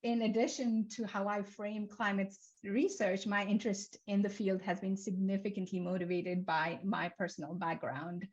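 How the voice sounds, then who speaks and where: A young woman from Nepal giving an academic seminar talk, with a measured, list-like delivery and frequent fillers. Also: mid-range voice, little pitch movement. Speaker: seminar speaker, climate researcher. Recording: slightly dull lo-fi lecture audio.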